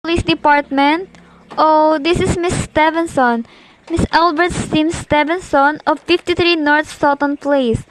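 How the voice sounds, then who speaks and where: A woman's voice: high-pitched, steady tone, a little slow, a little hiss or hum, low-quality recording. A young woman playing an imperious, nervous married lady in an amateur radio play, demanding and impatient. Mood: agitated, pleading. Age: teenager to young adult. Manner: demanding, agitated, impatient, haughty. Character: imperious, nervous, indignant married woman reporting an overheard murder plot.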